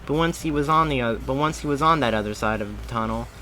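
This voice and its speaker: A man reading fan fiction aloud in a steady way, with small slips and restarts. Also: medium pitch, quick pace, slight background noise. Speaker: story reader.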